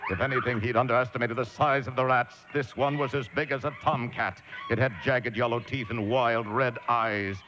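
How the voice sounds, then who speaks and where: A man's voice: medium pitch, steady tone, normal speed, few pauses, background noise, natural voice. A man playing an urgent, frightened lighthouse keeper in a radio horror drama. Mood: tense recounting. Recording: dull lo-fi radio broadcast.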